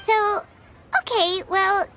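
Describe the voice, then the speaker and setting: Squeaky, childlike little fairy companion. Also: female voice, fairly even intonation, slow, noisy background. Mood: puzzled, curious. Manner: refers to self in third person, squeaky and earnest. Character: tiny childlike floating girl companion, curious and naive. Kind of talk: video game character voice acting. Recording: music playing behind the voice.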